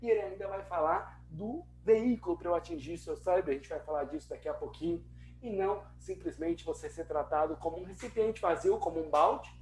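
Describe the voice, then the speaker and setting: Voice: medium pitch, brisk, a little mellow. A speaker with a Brazilian Portuguese accent presents a promotional online English lesson, talking emphatically, asking the listener rhetorical questions and repeating phrases for effect. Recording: roomy echo with faint hum.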